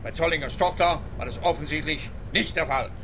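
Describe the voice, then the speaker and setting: A man's voice: a little high, fairly quick, background noise, close to an everyday voice. An actor playing a sardonic, blunt, grumbling male police detective in a German-language radio play, sarcastic and skeptical. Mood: dry, grumbling.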